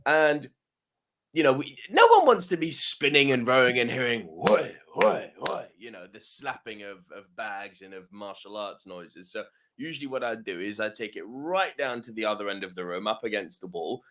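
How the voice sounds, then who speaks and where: Animated man telling a gym story. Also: mid-range voice, normal speed. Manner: swears freely, laughs often, lots of yeah-tags. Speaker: podcast co-host. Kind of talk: casual storytelling chat.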